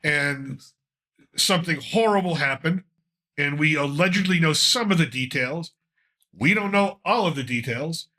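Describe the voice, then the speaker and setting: Congested, bright-voiced male host. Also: on the high side, lively intonation, a little slow. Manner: loose, rambling, with frequent uh fillers. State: congested from a cold. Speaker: podcast host. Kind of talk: casual news commentary.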